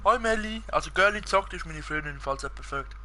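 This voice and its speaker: Bright-voiced young Swiss man streaming a game. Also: fairly high pitch, expressive, a little fast, slight background noise. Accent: Swiss German. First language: Swiss German and Italian. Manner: rambling, chatting with viewers, frequent fillers. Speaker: gaming streamer. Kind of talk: casual live-stream chat while gaming.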